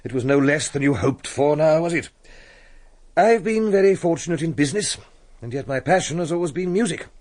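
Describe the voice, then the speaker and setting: Man acting posh-mannered english rich patron in a radio play, brisk n in charge, very animated, slightly higher voice. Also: lightly acted. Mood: magnanimous. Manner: authoritative, brisk, probing, genteel. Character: wealthy, shrewd English businessman and benevolent music patron. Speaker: actor in a radio drama. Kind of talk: radio drama dialogue.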